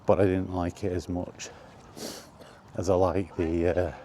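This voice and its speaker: A middle-aged man chatting casually and reminiscing in a halting, thinking-aloud way, in short rambling phrases. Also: deep voice, flat delivery, dark tone, slight background noise. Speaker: video creator and host. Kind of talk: casual reminiscing monologue about retro games. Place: outdoors while walking.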